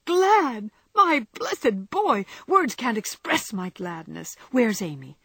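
Lady doing a spirited young woman from a storybook, high excitable voice, all exclamations n teasing, bit husky. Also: moderate pace, dry recording, studio quality. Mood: joyful. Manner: exclamatory, mock-scolding.

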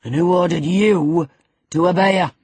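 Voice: mid-range voice, fairly even intonation, moderate pace, breathy edge, no room sound. A narrator voicing a savage, brooding middle-aged master of a house, harsh, imperious and threatening, in an audiobook. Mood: stern, suspicious.

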